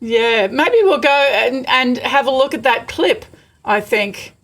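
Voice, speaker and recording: A woman's voice: fairly high pitch, slow, a bit bright. A woman hosting a casual live interview over a video call, chatting conversationally with her guest.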